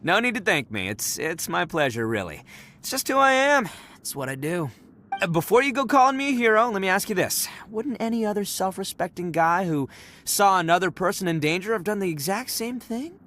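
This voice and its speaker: A man's voice: high voice, sing-song, a little fast, pushed and bright, full character voice. An actor voicing a brash, boastful young male oni brawler in a game, bashful and self-congratulating. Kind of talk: game voice acting.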